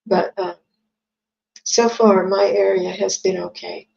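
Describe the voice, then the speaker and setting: Chatty woman w/ a twang, raspy gravelly voice, doing card readings on a live stream, kinda muffled sound. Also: medium pitch, fairly even intonation, slow, a little mellow. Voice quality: scratchy, gravelly voice. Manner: chatty, friendly, reads viewer comments aloud. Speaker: psychic reader streaming live. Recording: dull lo-fi stream audio.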